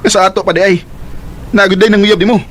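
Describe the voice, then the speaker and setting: A man's voice: sits high, fairly even intonation, fast, noisy background, lightly acted. An actor with a Cebuano accent playing a devoted young working man who turns into a jealous boyfriend, speaking in an emotive, pleading way. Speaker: radio drama actor. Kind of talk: Cebuano radio drama acting.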